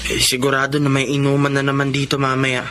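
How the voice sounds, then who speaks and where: An actor playing a caring younger brother, a student, in a radio drama, speaking earnestly with a Filipino accent. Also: male voice, mid-range voice, monotone, fast, fairly bright tone, natural voice. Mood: inquiring. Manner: earnest, concerned. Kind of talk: Tagalog radio drama acting. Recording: faint background noise.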